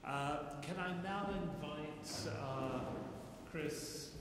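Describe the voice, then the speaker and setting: A man chairing a conference session, thanking speakers and introducing the next one in a courteous, halting way with many uhs. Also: a little high. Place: large lecture hall. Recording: distant mic in echoey hall, loud noise.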